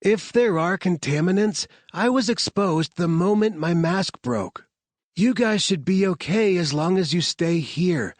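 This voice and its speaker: A man's voice: sits high, expressive, a little slow, dry recording, pitched above the performer's own voice. A man voicing an injured, stubborn woman scientist who insists on going into danger, speaking urgently in a raised voice.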